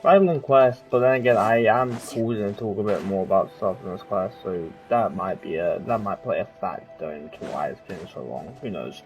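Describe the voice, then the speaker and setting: A man's voice: on the low side, normal speed, few pauses, a little mellow, dull audio, soft background music. A man giving casual, rambling commentary as he plays through a story-driven video game, with informal asides. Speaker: gamer reacting to a game story.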